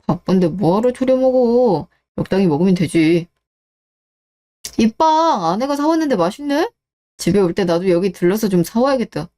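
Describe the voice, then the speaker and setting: A woman's voice: mid-range voice, very animated, moderate pace, warm tone, lightly acted. A woman voicing an easygoing, self-reliant husband and son in a scripted radio drama, casual and mildly defensive.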